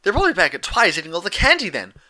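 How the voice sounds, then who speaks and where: A man voicing a hyper, cheerful young female pink pony, bubbly and excitable, in a story reading. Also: high-pitched, very fast, pitched above the performer's own voice, exaggerated voice. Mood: sudden alarm.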